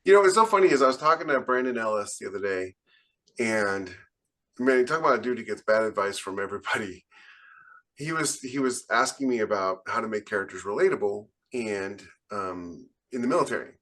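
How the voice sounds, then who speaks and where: A man's voice: lowish voice, expressive, brisk, fairly bright tone. A man speaking emphatically and with firm opinions in a casual panel chat about writing, as a podcast co-host. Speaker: podcast co-host and fiction author.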